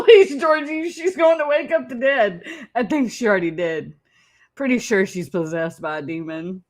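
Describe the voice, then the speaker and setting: A woman's voice: a little high, moderate pace. A woman hosting a reaction show, mocking the clip in casual, teasing commentary and laughing often.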